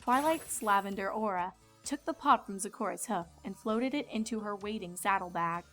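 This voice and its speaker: A woman's voice: mid-range voice, moderate pace, fairly bright tone. Woman narrating a story over music. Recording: music behind the voice.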